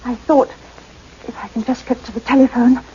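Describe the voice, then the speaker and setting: An actress playing a frightened, dazed woman in a radio play, speaking in a halting, dreamy way. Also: a little high, steady tone, mellow, natural voice. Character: frightened, dazed woman recalling an eerie encounter. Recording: muffled, noisy radio play recording.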